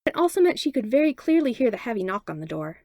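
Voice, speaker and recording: A woman reading fan fiction aloud as a podfic narrator, with expressive, animated storytelling. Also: fairly high pitch, fast, no echo, studio quality. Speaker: podfic reader.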